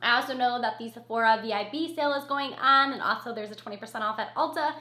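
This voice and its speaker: A woman's voice: fairly high pitch, fast talker, bright, forceful tone, roomy sound. Scratchy-voiced woman vlogger with a cold. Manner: gushing, repeats words for emphasis. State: has a cold, sniffling. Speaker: beauty vlogger. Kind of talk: casual product review chat.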